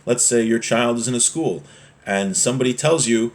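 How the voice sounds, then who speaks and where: A man's voice: mid-range voice, normal speed. A man giving an informal religious lesson as a teacher, reading from a text and explaining it point by point, with rhetorical check questions.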